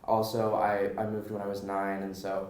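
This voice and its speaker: An American man conducts a conversational interview in a lowish, mellow voice. He is encouraging and affirms what he hears. The sound is roomy and echoing, with faint noise behind him. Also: completely flat delivery, normal speed. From California.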